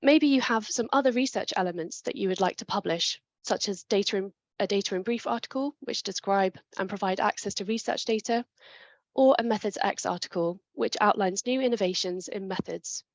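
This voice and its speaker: A woman's voice: mid-range voice, a little fast, no echo. Woman giving a methodical webinar talk. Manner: clear, methodical, with small restarts. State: chest infection coming on. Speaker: presenter. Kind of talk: webinar presentation.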